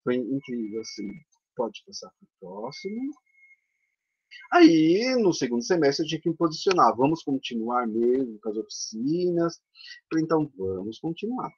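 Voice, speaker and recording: A Brazilian-accented man talks through his slides as a theatre teacher for older adults, chatty and full of tag questions. His voice sits at an ordinary middle pitch for a man. The sound comes through a dull, lo-fi video call. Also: very animated, normal speed, husky. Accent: Brazilian Portuguese. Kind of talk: conference presentation over slides. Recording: dull lo-fi online call audio.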